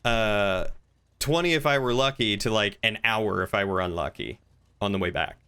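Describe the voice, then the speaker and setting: A man talks casually while livestreaming game roleplay. His voice is crisp and forward, with a bright edge at an ordinary pitch. He rambles along, thinking out loud and reading out chat. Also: moderate pace.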